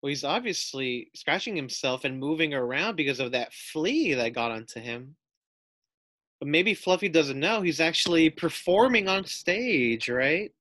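A man's voice: on the high side, animated, measured pace, sharp tone, dry recording. A man reading a children's storybook aloud as a teacher, expressively, with explanatory asides and questions to pupils.

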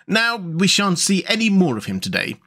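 Storybook river rat, played by a male reader: genial, gentlemanly, chummy, very lively intonation. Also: high-pitched, moderate pace, pitched above the performer's own voice, full character voice. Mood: chatty, wry. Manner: chummy, old-fashioned gentlemanly phrasing. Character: eager, friendly river rat, a genial host. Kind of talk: storybook character voice.

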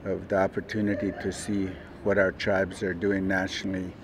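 Monotone older man from Oregon. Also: low voice, normal speed, noisy background. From Oregon, USA. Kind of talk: interview answers.

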